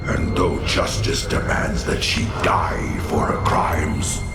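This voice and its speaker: An actor voicing a solemn, forbidding alien warrior prophet in a video game, gravelly and archaic in his portentous declamation. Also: rumbling low, fairly even intonation, slow. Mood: grave, foreboding. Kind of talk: theatrical video game voice acting. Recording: loud music behind.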